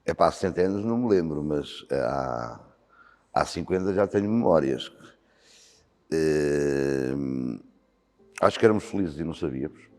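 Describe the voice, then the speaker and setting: A man's voice: very deep voice, expressive, normal speed, long pauses, darkish tone. A man in his sixties with a European Portuguese accent, a volunteer fire commander answering interview questions thoughtfully, with frequent hesitations. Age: 60s. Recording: long hall reverb with faint music.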